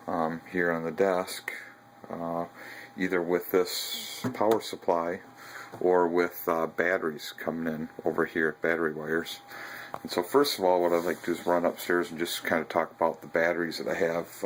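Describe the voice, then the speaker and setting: A man walking through his home battery and radio setup in a casual vlog, talking haltingly with many ums and self-corrections. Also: deep voice, slight background noise, low-quality recording. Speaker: video blogger showing his ham radio setup. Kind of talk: casual walkthrough narration. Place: house, garage and basement.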